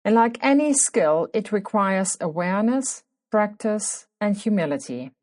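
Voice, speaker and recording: A middle-aged woman, a clinician whose first language is German, speaking English with a German accent as she narrates her own podcast in a measured, deliberate way with emphatic pauses. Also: mid-range voice, a little mellow. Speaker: podcast host. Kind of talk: scripted solo podcast narration.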